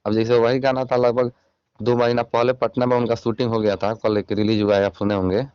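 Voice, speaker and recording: A man's voice: medium pitch, level tone, brisk, darkish tone, smooth, no room sound. A man with a Bihari accent speaking as a channel reporter on a recorded phone call, questioning and admonishing in an insistent way. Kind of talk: confrontational phone interview.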